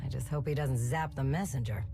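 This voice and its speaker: An actress playing an informal, wry Imperial aide reporting to a commander in a video game. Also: female voice, rumbling low, a little fast, creaky. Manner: casual, wry. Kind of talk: video game cutscene acting. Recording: loud music behind the voice.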